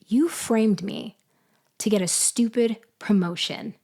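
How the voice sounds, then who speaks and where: A woman playing a betrayed, vengeful ex-girlfriend in an audio roleplay monologue, taunting with deliberate, condescending delivery. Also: mid-range voice, dark tone. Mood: bitter, controlled. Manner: slow, deliberate, condescending, with sighs and pauses. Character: betrayed, vengeful ex-girlfriend confronting her framer, coolly taunting. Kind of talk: girlfriend ASMR-style dramatic roleplay monologue.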